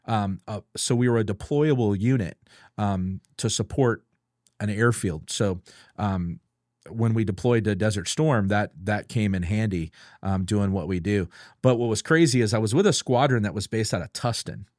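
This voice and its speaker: A man's voice: lowish voice, normal speed, darkish tone, no echo, clean studio sound. An older man, a podcast guest, casually reminiscing and explaining details of his service career in an informal way. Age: 50s or older. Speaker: podcast guest, retired air traffic controller. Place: close-miked dry studio.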